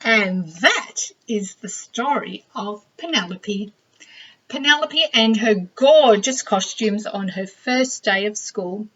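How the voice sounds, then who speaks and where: A woman librarian reading a children's storybook aloud with expressive narration, on a slightly dull recording. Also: medium pitch, breathy edge.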